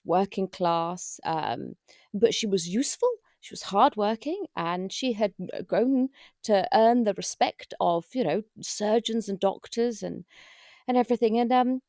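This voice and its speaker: A gushing luvvie of the stage , played by a woman, giving a spoof documentary interview. She is affected and hesitant, with a medium pitch and a dry, close sound. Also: mellow, no room sound, lightly acted. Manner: affected, hesitant, searching for words. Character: gushing, theatrical luvvie actress being interviewed.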